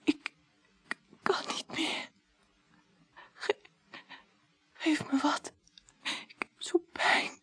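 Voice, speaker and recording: An actress playing a dying hospital patient in radio drama, gasping out broken, repeated words in pain with a weak, breathy whisper. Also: female voice, a bit bright, dry recording. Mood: weak, anguished. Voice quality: breathy whisper, barely voiced. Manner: halting, fragmented, repeating words.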